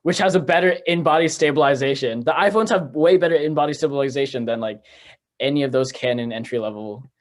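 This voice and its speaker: A man's voice: medium pitch, fast. Easygoing young man, casual podcast chat. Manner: relaxed, easily amused, slangy.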